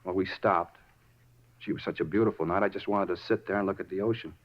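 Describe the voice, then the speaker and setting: A muffled 1950s radio recording of a rambling murder suspect. His lowish voice moves quickly as he insistently justifies himself, circling small details and repeating his points like someone unhinged. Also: steady tone. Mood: earnest. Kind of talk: 1950s radio drama acting.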